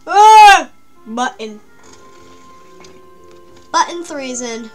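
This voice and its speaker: A high-pitched woman chats through a game in a jokey, rambling way, mostly talking to herself as she plays. Faint music sits underneath her commentary. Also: very animated, extremely slow. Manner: chatty, jokey, talks to herself. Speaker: let's play gamer. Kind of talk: casual gameplay commentary.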